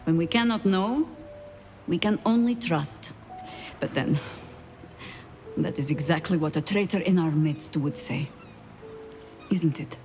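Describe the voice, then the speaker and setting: An actress playing a wary, weary veteran spy in a video game, with dry, measured, formal delivery. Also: female voice, deep voice, a little mellow. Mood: wry, guarded. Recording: music behind the voice. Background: game music.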